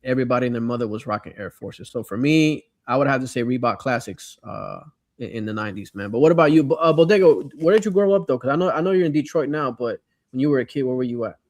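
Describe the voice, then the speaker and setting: A man's voice: medium pitch, lively intonation, fast, no echo. A middle-aged American man from Miami chatting casually and nostalgically in slang as a livestream host. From Miami, United States. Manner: slangy, conversational. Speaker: livestream host reading viewer comments.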